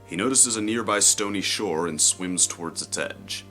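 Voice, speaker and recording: A man reading scripted story narration in a measured, descriptive way. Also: lowish voice, pushed and bright, faint music behind.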